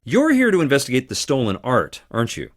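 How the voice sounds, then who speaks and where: An actor playing a charming, theatrical theater performer with dramatic flair in a video game. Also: male voice, mid-range voice, wildly swooping pitch, a little fast. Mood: guarded, conversational. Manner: theatrical, dramatic, swinging between playful and intense. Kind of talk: video game character dialogue.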